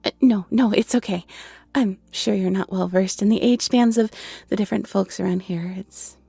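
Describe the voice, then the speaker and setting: Woman as a shy, breathy, flustered owl-folk shopkeeper. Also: mid-range voice, normal speed, mellow, no room sound. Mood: nervous, friendly. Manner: hesitant, apologetic, nervously chatty. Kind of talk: scripted roleplay audio drama.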